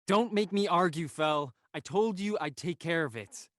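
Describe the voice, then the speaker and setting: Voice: medium pitch, normal speed, dry recording, clean studio sound, close to an everyday voice. An actor playing a resolute, self-sacrificing man in an audio drama, speaking earnestly and urgently. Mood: grave pleading.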